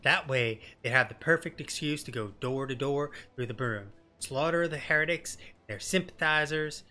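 A man's voice: a little high, moderate pace, pushed and bright, soft background music. Man voicing a blunt, streetwise rebel woman. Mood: defiant, sardonic. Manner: blunt, colloquial, mildly cursing. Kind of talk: game dialogue read in character.

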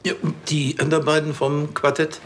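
An actor playing a bumbling, chatty police officer who stammers and rambles in a crime drama. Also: male voice, a little high, monotone, a little fast, slight background noise, lightly acted. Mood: awkward, nosy.

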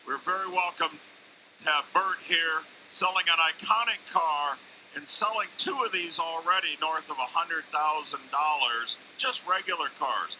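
Bright-voiced male auction announcer. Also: on the high side, measured pace, a little hiss or hum, poor audio. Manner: enthusiastic announcing. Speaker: auction show host. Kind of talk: live event commentary. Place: large indoor auction arena.

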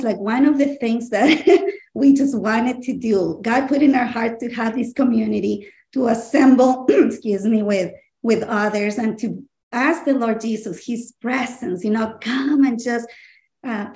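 A middle-aged woman from Ecuador, a guest on a video call from her home, talking earnestly and warmly and laughing easily. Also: fairly high pitch. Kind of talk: casual interview talk. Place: home living room. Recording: roomy video call audio.

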